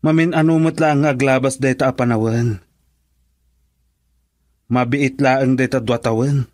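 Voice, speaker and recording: A man's voice: mid-range voice, fairly even intonation, unhurried, a little mellow, no room sound, clean studio sound, lightly acted. A man playing a male friend who urgently advises his buddy, in Ilocano-accented radio drama dialogue. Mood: urging, advising.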